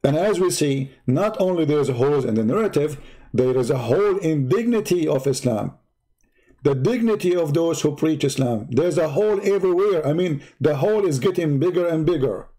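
A man whose first language is Arabic, hosting a heated live stream and hammering at his opponents with mocking rhetorical questions. His voice is dark and a bit husky, with a combative edge. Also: fairly high pitch, lively intonation, normal speed, low-quality recording. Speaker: online debate host.

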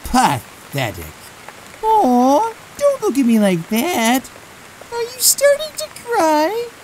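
A woman's voice: high-pitched, huge pitch swings, darkish tone, slight background noise, heavily acted, rough recording. A woman voicing a small, malicious talking flower in a fan comic dub, mocking, bitter and theatrical. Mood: taunting, cruel. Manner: mocking, theatrical, drawn-out menace.

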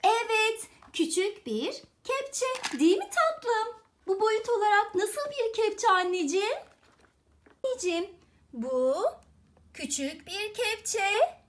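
A woman's voice: very high-pitched, expressive, slow pace. A woman speaking as a mother teaching her young child, asking questions and praising in an affectionate, encouraging, repetitive way.

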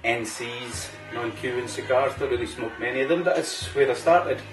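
A middle-aged man with an Aberdeen Scottish accent, hosting a relaxed cigar review chat at a home bar and using local words like "wee" and "aye". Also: medium pitch, moderate pace. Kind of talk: casual chat. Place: home bar room, indoors. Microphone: camera mic at table distance. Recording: roomy, distant camera mic, faint music.

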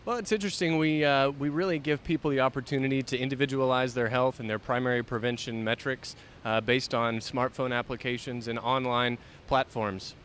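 A man's voice: steady tone, brisk, fairly bright tone. A man in his thirties from the Dallas area, a researcher giving a casual interview into a handheld microphone. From Dallas area, United States. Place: large convention hall. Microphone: handheld microphone held by interviewer. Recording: faint convention hall rumble.

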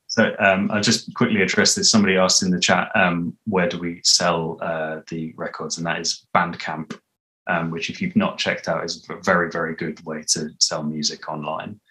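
Very deep-voiced man, explaining haltingly. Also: flat delivery, bright, forceful tone, poor audio. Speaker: podcast creator, interview guest. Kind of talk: casual video interview. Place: small indoor room at home. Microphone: video call, computer at arm's length.

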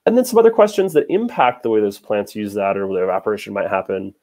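A young man with an American accent and a fairly low voice gives an informal science lecture. He explains step by step, posing questions and then answering them. He speaks over a video call from indoors. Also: lively intonation, fast, a little mellow. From Nevada. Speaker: hydrologist giving a lecture.

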